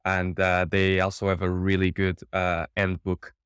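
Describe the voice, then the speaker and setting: A man from Portugal with a low, resonant voice talks through interview questions in an easygoing, halting way. He restarts often and leans on fillers, and the sound is close and dry. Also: completely flat delivery, fairly bright tone, clean tone, dry recording. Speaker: startup cofounder, guest. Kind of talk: casual interview answers.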